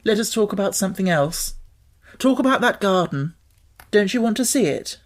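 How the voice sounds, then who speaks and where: A woman's voice: medium pitch, lively intonation, normal speed, pitched above the performer's own voice, lightly acted. A woman voicing a sickly, imperious young boy who is curious and insistent in manner. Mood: demanding, excited. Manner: demanding, insistent.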